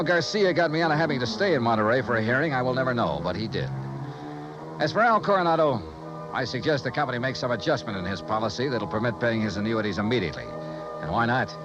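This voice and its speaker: Actor as a wry, hardboiled investigator; matter-of-fact, lively delivery, music behind. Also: male voice, mid-range voice, close to an everyday voice, low-quality recording. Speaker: lead actor and narrator. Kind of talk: 1950s radio drama acting. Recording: old radio broadcast with music.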